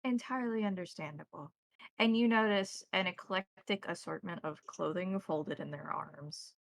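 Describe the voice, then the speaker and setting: A woman game master narrating scenes descriptively and deliberately in a tabletop roleplaying game. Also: lowish voice, creaky, dry recording.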